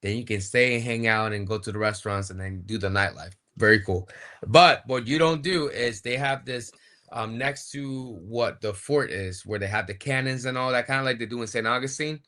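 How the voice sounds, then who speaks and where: A man, a wrestling guest, explaining things in a relaxed, casual way. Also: mid-range voice, moderate pace. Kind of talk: casual chat.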